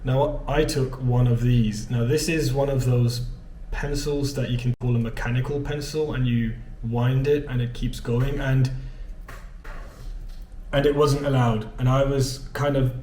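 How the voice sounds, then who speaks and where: A man's voice: mid-range voice, steady tone, unhurried, darkish tone, echoey room, a little hiss or hum. A man from England with an English accent, chatting casually to camera in a vlog, rambling with many ums and restarts. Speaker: vlogger. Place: his home in Canada.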